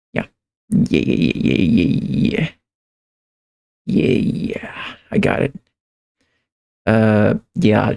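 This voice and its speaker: A man livestreaming in a casual, rambling way, halting and repeating phrases as he thinks aloud. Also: medium pitch, creaky, darkish tone. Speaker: live streamer. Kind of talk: casual livestream chat, reading viewer comments.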